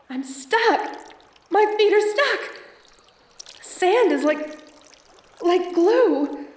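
Woman acting a high-voiced theatrical femme fatale adventuress. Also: reverberant, far from the mic, heavily acted. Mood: panicked, pleading. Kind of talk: audio roleplay acting, addressed to the listener.

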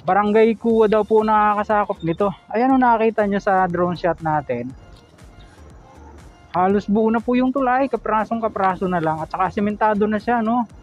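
A Filipino-accented man narrates a road vlog in a high, easygoing voice, full of polite fillers and little tag questions. He speaks outdoors from a camera on the move. Faint music sits underneath. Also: normal speed. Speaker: vlogger.

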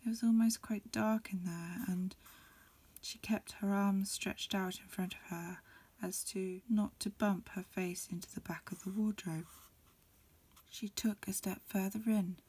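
A woman reading a storybook aloud as ASMR in a breathy, mostly whispered voice, a little hesitant, with small stumbles. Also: medium pitch, fairly even intonation, unhurried, a little mellow. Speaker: amateur reader. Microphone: close recording, lo-fi home setup.

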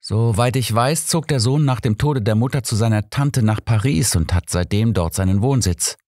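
A man's voice: mid-range voice, normal speed, a little mellow, no echo, studio quality, pitched above the performer's own voice, close to an everyday voice. Man voicing an earnest, officious police inspector. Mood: assertive.

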